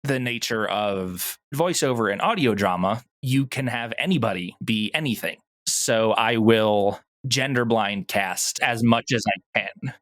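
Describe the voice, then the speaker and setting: A man's voice: on the low side, a little slow, hardly any pauses, fairly bright tone, no room sound. A man speaking casually as an interview guest, explaining his creative work and thinking aloud as he goes. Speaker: interview guest, audio drama producer.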